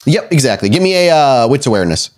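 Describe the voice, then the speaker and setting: A man's voice: medium pitch, very animated, fast talker. A man narrating a tabletop game as game master, descriptive and conversational, improvising as he goes.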